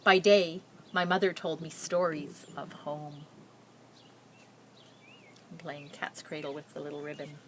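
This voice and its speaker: Woman reading aloud, lower voice, noisy recording. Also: flat delivery, a little slow, long pauses. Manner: explains pictures and words to young listeners. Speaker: picture-book reader. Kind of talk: read-aloud storytelling with explanatory asides.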